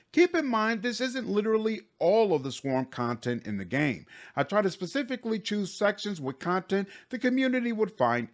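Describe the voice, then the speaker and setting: A man narrating a scripted voice-over as a gaming channel host. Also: fairly high pitch.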